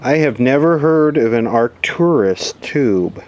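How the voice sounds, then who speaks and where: A man giving casual, off-the-cuff repair commentary, halting as he thinks aloud while working. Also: mid-range voice, lively intonation, darkish tone, low-quality recording. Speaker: repair video host, vintage electronics hobbyist. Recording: clear background noise from a workbench recording. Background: ambient noise, passing aircraft.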